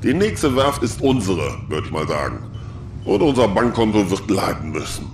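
An actor playing a grumbling, fatherly older spaceship crewman, wry and weary, with sighs and drawn-out interjections. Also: fairly low pitch, very animated, background noise. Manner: groaning, sighing, drawn-out interjections. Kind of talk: audio drama acting. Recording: roomy, loud music behind.

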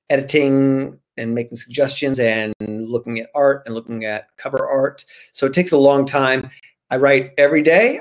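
A man in his forties, an author guest, casually answering questions over a video call from a room at home in an animated, jokey way. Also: medium pitch, creaky.

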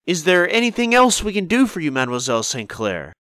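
An actor plays a male shop manager in a voiced visual novel, cautious and eager to placate. His delivery is emphatic and very animated, in a close, dry recording. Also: high voice, fast, smooth, no room sound. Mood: placating.

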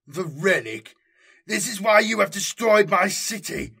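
Desperate male governor, acted by a male narrator, high-pitched shrieking, indignant, loud, strained, sci-fi audiobook. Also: big pitch swings, unhurried, vocal fry, slightly rough, heavily acted. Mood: defiant contempt. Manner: shrill, strained, pleading outrage. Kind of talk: dramatic sci-fi audiobook character acting.

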